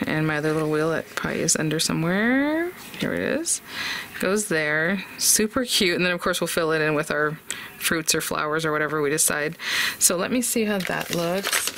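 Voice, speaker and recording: Chatty woman with a bright voice. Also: fairly low pitch, a little hiss or hum. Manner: chatty, upbeat, thinking aloud. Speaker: craft channel host. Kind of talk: casual craft tutorial. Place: indoor craft desk. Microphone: overhead camera on desk, voice off-camera.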